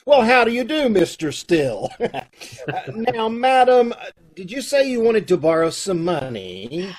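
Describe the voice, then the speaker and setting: A man acting a flustered, exasperated loan officer in an old-time radio comedy, with a husky voice. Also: high voice, sing-song, unhurried. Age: middle-aged. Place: indoors, on a video call. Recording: home video-call recording.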